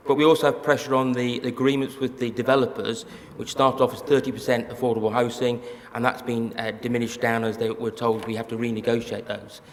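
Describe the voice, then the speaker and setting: A man's voice: mid-range voice, little pitch movement, fairly quick, few pauses. A man who is a mayor, answering on a panel with many hesitant fillers. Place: conference hall. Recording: reverberant hall, faint background noise.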